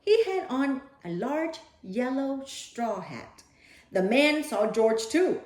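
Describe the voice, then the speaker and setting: A woman's voice: high voice, sing-song, slow, a little mellow, roomy sound. A woman reading a children's picture book aloud expressively, with dramatic delivery and playful asides to a young listener.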